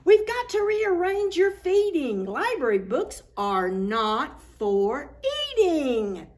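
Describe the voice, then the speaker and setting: A woman's voice: high voice, very animated, slow pace, darkish tone, exaggerated voice. A woman voicing a bossy school lunchroom lady from a picture book, insistent and admonishing.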